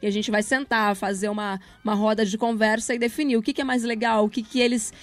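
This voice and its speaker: A woman's voice: a little high, steady tone, quick pace. A Brazilian woman giving casual interview answers, explaining things in an informal, slangy way as a project leader and teacher.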